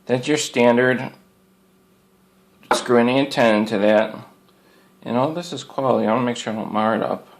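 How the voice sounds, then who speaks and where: A man's voice: medium pitch, normal speed, pauses often. A man giving a casual, rambling product show-and-tell in a folksy, plainspoken way, often repeating his phrases. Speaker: hobbyist presenter showing radio antenna gear.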